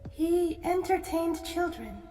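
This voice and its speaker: Man putting on a very high, far-off teenage robot girl. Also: animated, takes it slowly, over music, exaggerated voice. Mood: wistful. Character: robot teenage girl, wistful, sullen, anxious.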